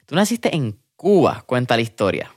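Upbeat podcast host, dry sound. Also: male voice, mid-range voice, normal speed, dry recording. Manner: relaxed, mixes English words into Spanish. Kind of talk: casual interview.

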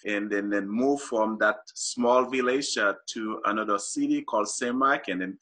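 A man's voice: fairly low pitch, normal speed, a bit bright. A middle-aged man from Haiti, a guest author on a talk show, explains his ideas earnestly with many fillers and restarts.

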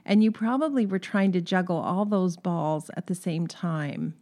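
A woman's voice: lowish voice, normal speed. A woman hosts a conversational interview. She speaks reflectively and reassuringly, working her thoughts out aloud before she asks her guest a question. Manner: warm, reassuring, thinks aloud. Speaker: interview host.

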